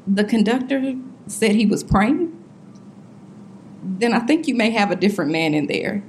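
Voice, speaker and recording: A woman's voice: mid-range voice, expressive, moderate pace, a little mellow, a little hiss or hum, lightly acted. An actress in an audio drama playing a grieving, defiant young woman who talks in a blunt, colloquial way while questioned by police. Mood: insistent. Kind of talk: full-cast audio drama acting.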